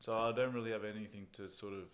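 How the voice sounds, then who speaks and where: A man, an MMA fighter being interviewed, telling stories in a relaxed, casual way and chuckling at his own jokes. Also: fairly low pitch, quick pace.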